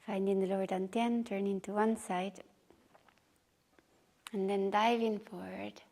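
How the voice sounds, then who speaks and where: A woman teaching meditation and gentle movement, guiding in short, unhurried phrases with pauses. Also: mid-range voice, level tone, creaky. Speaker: taiji and qigong meditation teacher. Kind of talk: guided meditation and movement instruction. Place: retreat session, live group class.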